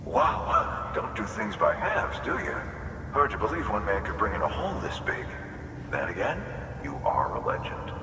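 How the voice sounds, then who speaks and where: A grateful depot worker in a video game. His deep voice swings widely in pitch, informal and full of admiration. It echoes from a distance through clear noise. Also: wildly swooping pitch, moderate pace. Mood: impressed, grateful. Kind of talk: scripted video game dialogue.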